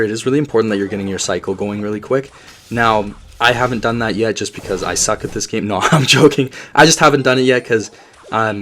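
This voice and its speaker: A slightly hoarse man with a cold, giving chatty gameplay commentary and tips with jokey asides. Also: medium pitch, fairly bright tone. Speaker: gaming video creator.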